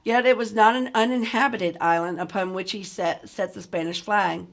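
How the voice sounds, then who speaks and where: A woman's voice: mid-range voice, normal speed, gravelly. Woman teacher leading a read-aloud lesson. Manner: addresses listeners directly with questions. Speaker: teacher reading a picture book aloud.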